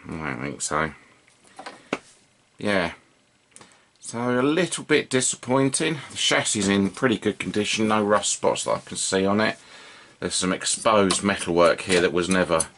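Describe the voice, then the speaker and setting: A man's voice: sits low, a bit bright, a little hiss or hum. A man giving a casual, unscripted hands-on demonstration, understated and hesitant as he thinks aloud. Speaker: host of a hobby radio repair video. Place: indoors at a workbench.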